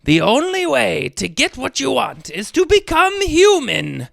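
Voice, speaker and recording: A man's voice: very high voice, wildly swooping pitch, measured pace, vocal fry, a bit bright, dry recording, clean studio sound, pitched above the performer's own voice, exaggerated voice. A man voicing an evil, scheming female sea witch in a children's storybook, persuasive and demanding. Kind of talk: storybook villain voice.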